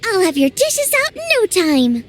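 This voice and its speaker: A woman's voice: very high-pitched, very animated, normal speed, heavy background noise. A woman voicing a cheerful young female restaurant chef who talks in a bubbly, upbeat way. It is game dialogue with music behind it. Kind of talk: game voice acting.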